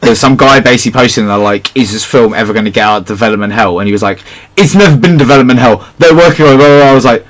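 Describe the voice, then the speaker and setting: A man's voice: medium pitch, racing, few pauses. A man hosting a casual pop culture podcast, talking like a keen fan with friends. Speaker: podcast host.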